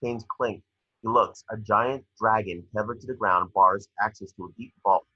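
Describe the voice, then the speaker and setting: A man's voice: on the low side, fairly even intonation, normal speed, bright, forceful tone, slightly rough, no echo, highs cut off. A man reading stage directions as narrator for a screenplay table read.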